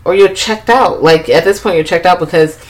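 A woman's voice: on the low side, brisk. Rambling woman recapping a plot. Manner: rambling, profane storyteller. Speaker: podcast co-host. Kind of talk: casual movie recap chat.

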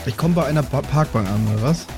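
A man's voice: medium pitch, fast. A young man playing in an improvised role-play, talking casually in slang with a joking manner. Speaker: player in a pen and paper podcast. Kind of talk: improvised in-character casual chat. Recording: faint music bed behind the voice. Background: faint background music.

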